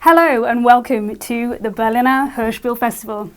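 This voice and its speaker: A woman's voice: fairly high pitch, steady tone, normal speed, rough recording. A woman hosting a festival live stream in a presenter's style.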